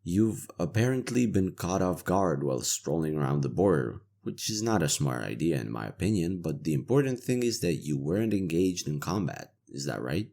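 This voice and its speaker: A cynical imperial interrogator, acted by a man in a fantasy audio roleplay. His voice sits extremely low and crackles with gravelly fry, yet it moves with lively intonation. He talks in a sardonic, weary, conversational way, as a soldier who still has a conscience. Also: normal speed, hardly any pauses, heavily acted. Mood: dry, defensive. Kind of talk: roleplay audio drama monologue.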